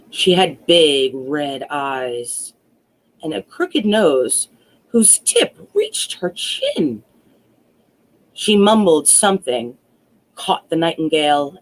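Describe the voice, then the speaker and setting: Woman storyteller, slow deliberate pace, highly animated pitch, dramatic fairy-tale narration. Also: rough recording.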